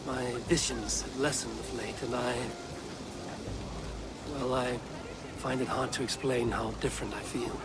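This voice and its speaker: A man playing a steady, honorable Viking clan leader in a video game, speaking in a measured, formal way. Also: mid-range voice, very noisy, natural voice. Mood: reflective. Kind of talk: dramatic game dialogue acting.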